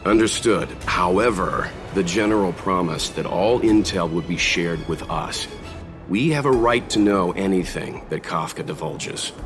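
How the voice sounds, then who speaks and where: An actor playing a serious, dutiful middle-aged man in a video game, measured, polite and firm. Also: low-pitched, music in the background. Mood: firm, reasonable. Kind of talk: scripted video game cutscene acting.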